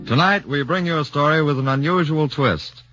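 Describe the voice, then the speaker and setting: A man's voice: on the high side, moderate pace, over loud music. A man announcing a radio drama with a formal, declamatory delivery. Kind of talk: formal broadcast introduction. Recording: dry, muffled 1940s radio broadcast.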